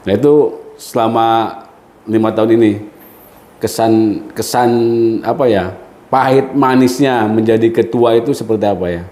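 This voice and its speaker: A man hosting a podcast interview, listening closely with steady hums of agreement and asking probing questions. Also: on the low side, normal speed, reverberant. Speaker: podcast host.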